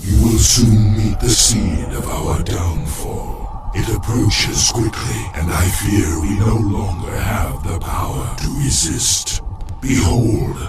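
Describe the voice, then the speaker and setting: A man playing an ancient, solemn, weary otherworldly spirit in a video game, breathy and portentous. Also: on the low side, expressive, slow, heavy background noise. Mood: solemn foreboding. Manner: grave, portentous. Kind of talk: video game cutscene acting. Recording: loud music behind the voice.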